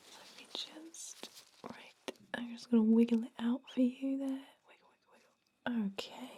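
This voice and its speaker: A woman playing a calm, attentive vampire dentist in an ASMR roleplay, speaking in a soft breathy whisper with gentle explanations. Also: on the high side, noisy background, close to an everyday voice. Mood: gentle, reassuring. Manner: slow, gentle, explanatory with pauses. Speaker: ASMR performer.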